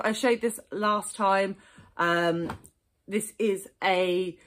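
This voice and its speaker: A woman's voice: mid-range voice, fairly bright tone. A woman, chatting enthusiastically through her monthly book reviews with frequent ums and small laughs. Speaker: book vlogger. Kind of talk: casual book review monologue.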